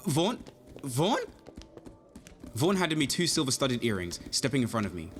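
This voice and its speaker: A man's voice: mid-range voice, sing-song, moderate pace, fairly bright tone, faint music behind. An actor playing an anxious, earnest young amateur detective in an audio drama, flustered and precisely worded as he acts and narrates his story. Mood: panicked. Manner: flustered, stammering, protesting, precise wording. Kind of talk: audio drama acting with first-person narration.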